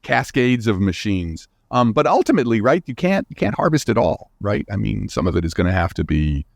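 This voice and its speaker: A man's voice: fairly low pitch, sing-song, fairly quick, gravelly, no room sound, clean studio sound. A man talking as a guest scientist in an interview, explaining in an informal, emphatic way and thinking aloud as he goes.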